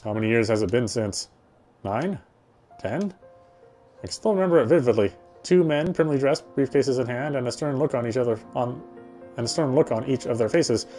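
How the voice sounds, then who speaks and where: A man reading first-person visual novel narration aloud in a soft, reflective, dramatic storytelling way. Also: medium pitch, expressive, normal speed. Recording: music behind the voice. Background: game music.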